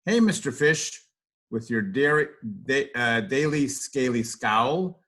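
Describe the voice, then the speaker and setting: A man's voice: medium pitch, expressive, takes it slowly, a bit bright. A man voicing a blunt sea creature from a picture book, scolding a friend in sing-song rhyme. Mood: chiding. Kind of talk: read-aloud picture book.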